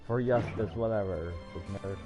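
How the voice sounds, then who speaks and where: A man's voice: on the low side, moderate pace. A man livestreaming a game with casual commentary and wry asides to himself. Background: game music.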